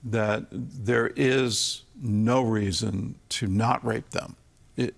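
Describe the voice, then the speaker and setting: A man hosting an interview podcast, asking questions in a hesitant, halting way. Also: fairly low pitch, fairly even intonation, creaky. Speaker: host.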